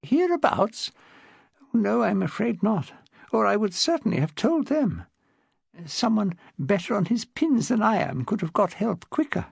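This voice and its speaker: A man voicing a frail, refined old woman over seventy who talks in a gently fussing, well-bred way. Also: high-pitched, big pitch swings, measured pace, creaky, breathy edge, no room sound, clean studio sound, voice pushed up, exaggerated voice. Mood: chatty.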